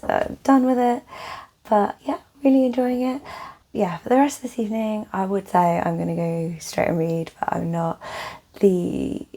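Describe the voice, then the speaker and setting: A young woman chatting casually to camera as a book vlogger, rambling with lots of fillers, her voice a little croaky and tired. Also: mid-range voice, dark tone.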